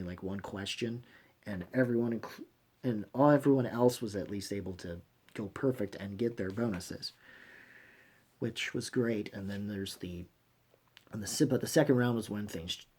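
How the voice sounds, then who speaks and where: A man hosting a fan review channel, giving unscripted, opinionated commentary in a halting, emphatic, blunt way, often rewording his phrases. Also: lowish voice, dark tone, husky. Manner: blunt, swears freely.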